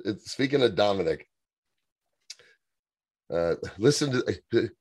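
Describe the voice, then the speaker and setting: A man's voice: fairly low pitch, animated, takes it slowly, a little mellow, slightly rough, no echo, clean studio sound. A man co-hosting a podcast, chatting casually and laughing often as he reads aloud. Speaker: podcast co-host. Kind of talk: casual chat.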